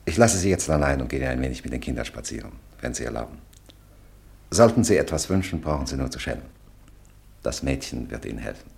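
A very deep-voiced courteous landlord. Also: male voice, lively intonation, a little fast, gravelly, a little mellow, exaggerated voice. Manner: polite, formal, soothing. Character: courteous Parisian landlord, older man. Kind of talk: radio play acting. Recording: old mono radio drama.

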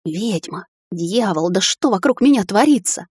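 A woman voicing a young male witch hunter who speaks in clipped, cold lines, with no deepening of her own voice. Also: animated, moderate pace, darkish tone, slightly rough, no echo, clean studio sound. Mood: shaken.

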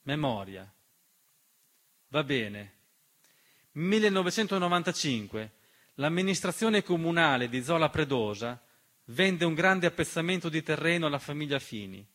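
A man performing a dramatic political theatre monologue in an emphatic, rhetorical manner with deliberate repetition. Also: a little high, very animated, fairly bright tone. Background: piano accompaniment.

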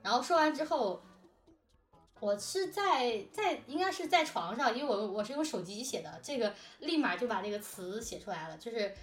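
Woman, higher-pitched, chatty behind-the-scenes explainer, distant roomy mic with music behind. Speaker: audio drama production team member and lyricist. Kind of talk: casual behind-the-scenes chat.

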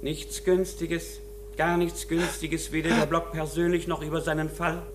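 Imperious, condescending attorney for radio drama, male actor; deliberate, pompous delivery, slightly high voice, noisy vintage recording. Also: flat delivery, close to an everyday voice. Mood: haughty. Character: imperious, condescending male lawyer, self-important and ailing. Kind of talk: German radio drama acting.

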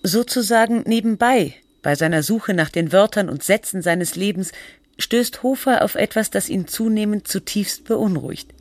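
A woman's voice: medium pitch, moderate pace, no room sound, lightly acted. A woman playing a sober, literary narrator and researcher in scripted documentary-style radio drama. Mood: measured, factual.

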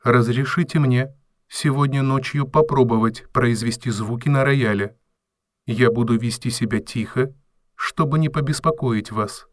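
A man plays a male robot servant in an audio drama, earnest and literal-minded. He speaks in formal, precise, deferential phrases at a middle pitch, recorded very dry and close with no room around him. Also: moderate pace, no echo, lightly acted. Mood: polite, deferential.

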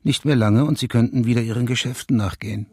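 Guy acting a calm police official in a radio crime drama, dry and matter of fact, mid pitch, music behind. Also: fast, natural voice. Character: composed male police official and dry-humoured narrator, Garric. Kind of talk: German radio crime drama, narration and dialogue.

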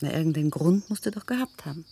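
A woman's voice: low-pitched, animated, fast talker, gravelly, mellow, slightly rough, exaggerated voice. A woman playing a curious park visitor in a naturalistic radio play, inquisitive and conversational.

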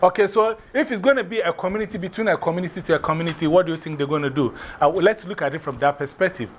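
High-voiced Ghanaian man teaching a class. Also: racing, slight background noise. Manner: lively, repeats and spells out words, laughs often.

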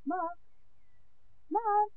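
An actress playing a lively, romantic young village barmaid in a 1960s Dutch radio drama. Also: female voice, very high-pitched, extremely slow, narrow, tinny audio, heavily acted. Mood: eager. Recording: thin vintage 1969 radio recording.

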